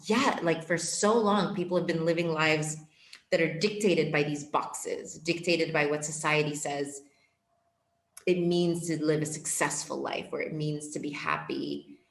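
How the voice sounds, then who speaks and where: Low-voiced young woman in an echoey room. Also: steady tone, measured pace. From born in Portugal, raised in Ethiopia. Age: young adult. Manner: reflective, earnest, many filler "like"s. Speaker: guest, empowerment coach. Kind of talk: casual podcast interview.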